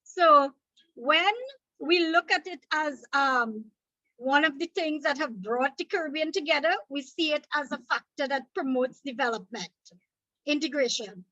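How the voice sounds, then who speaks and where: Trinidadian woman teacher, high bright voice, patient revision lecture, dry muffled recording. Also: a little slow, no echo. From Trinidad and Tobago. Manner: explanatory, repeats points, checks in with "okay?".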